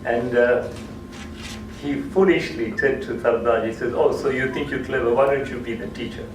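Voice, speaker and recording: A man's voice: medium pitch, steady tone, moderate pace. A man whose first language is Gujarati tells an anecdote at a cultural talk, repeating and restarting phrases as he goes. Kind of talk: storytelling lecture. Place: hall. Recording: echoey hall, distant mic, noisy.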